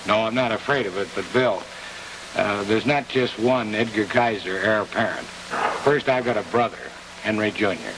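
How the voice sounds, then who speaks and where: An American man answering as an executive guest in a 1950s television interview, in earnest, measured short phrases. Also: lowish voice. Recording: distant, noisy 1950s television broadcast.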